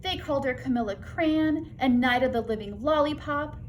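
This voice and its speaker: A woman reading a children's story aloud as host, with expressive, dramatic emphasis. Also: high-pitched, normal speed, muffled recording, slight background noise.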